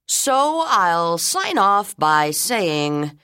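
A woman voicing a kind, funny male school principal making slow, measured intercom announcements in an audiobook. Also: medium pitch, big pitch swings, sharp tone, smooth, dry recording, clean studio sound, voice pushed up, heavily acted. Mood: announcing.

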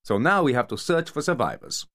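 A man voicing a sharp-tongued, sarcastic mafia enforcer who scolds his partner. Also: medium pitch, wildly swooping pitch, brisk, voice pushed up. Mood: scornful.

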